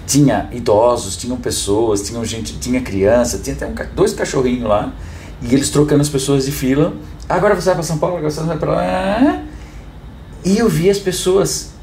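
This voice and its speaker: A hoarse Brazilian man with a mid-pitched voice speaks casually on a podcast. He is emphatic, repeating phrases and restarting mid-thought, in an echoey room with faint noise. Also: brisk, darkish tone. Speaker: podcast host, hair tools business owner. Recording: roomy echo, faint background noise.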